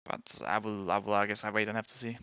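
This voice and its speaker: A young Danish man chatting casually with his stream viewers, hedging and correcting himself as he goes. His voice sits a little low but sounds bright and crisp. The dry mic is slightly dull. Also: level tone, fast, no echo. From Denmark. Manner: rambling, hedging, self-correcting. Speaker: game streamer and pro player. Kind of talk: casual chat answering viewers.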